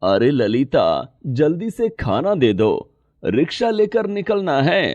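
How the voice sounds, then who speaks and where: A man's voice: fairly high pitch, big pitch swings, slow pace, a little mellow, no room sound, muffled recording, full character voice. A man voicing a poor, tired, caring rickshaw-driver father in a moral story, with an earnest, advising manner. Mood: concerned. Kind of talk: moral story character acting.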